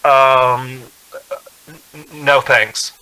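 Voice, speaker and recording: A man's voice: medium pitch, fairly even intonation, very slow, sharp tone, smooth, poor audio. An actor playing an uneasy young man on a road trip in a suspense radio drama. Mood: nervous, hesitant. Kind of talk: radio drama acting, first-person suspense narration.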